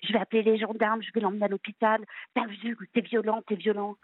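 A woman on a phone line imitating her jealous, angry mother as she nags her husband, shrill and repetitive. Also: level tone, quick pace, husky, no room sound, close to an everyday voice. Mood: accusing.

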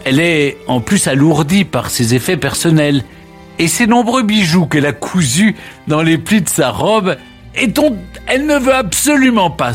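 A man hosting a radio history show, narrating past events in a lively, theatrical way with emphatic pauses. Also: fairly high pitch, breathy edge. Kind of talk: dramatic historical storytelling.

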